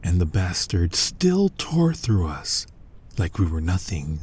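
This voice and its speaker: A male actor voices an ancient vampire who preys on other vampires, in an intimate ASMR roleplay. His voice is low, dark and heavily breathy, sinking toward whispers. He speaks with deliberate, brooding menace and total dominance. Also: very animated, exaggerated voice. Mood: grim, haunted. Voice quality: husky, breathy, often dropping to a whisper. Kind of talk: scripted ASMR roleplay monologue.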